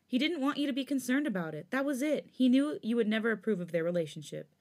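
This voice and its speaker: A woman's voice: fairly high pitch, lively intonation, quick pace, a little mellow, pitched above the performer's own voice, natural voice. A sympathetic young man speaks up urgently in a raised voice, as a woman reading a story puts him on. He sounds earnest and pressing.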